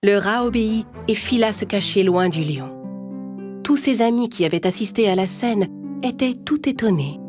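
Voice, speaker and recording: A woman narrates an animated fable for children. Her pitch is a little low for a woman, and she tells it as a scripted story. Music plays clearly under the voice. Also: moderate pace.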